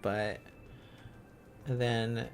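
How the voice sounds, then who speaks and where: A male livestream host talks slowly in a medium-pitched voice. He rambles with giggly enthusiasm, casual and chatty. Faint music plays behind him. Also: level tone. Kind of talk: casual livestream chat while learning Korean script.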